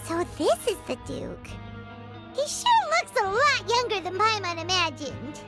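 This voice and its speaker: Game sidekick: tiny childlike fairy, extremely high squeaky voice, nervous and excitable, wildly animated. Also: female voice, measured pace, over loud music, exaggerated voice. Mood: puzzled, alarmed. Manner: speaks of self in third person, exclamatory. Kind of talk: video game character acting.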